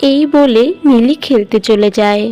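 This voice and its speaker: A woman's voice: a little high, normal speed, mellow, faint music behind. A woman reading scripted narration for a children's fairy-tale cartoon.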